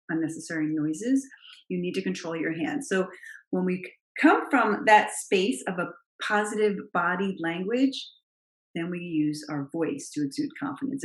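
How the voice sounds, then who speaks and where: Woman, middle-aged, naturally low voice, emphatic coaching tone, vlog advice, roomy echo. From Italian heritage. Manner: emphatic, expressive coaching delivery. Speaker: confidence coach. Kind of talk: direct-to-camera advice vlog. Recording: roomy, echoey room sound.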